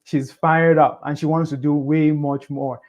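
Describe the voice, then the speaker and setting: Man with a lively voice. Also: a little high, measured pace. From Cameroon. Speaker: podcast guest. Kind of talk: casual interview storytelling.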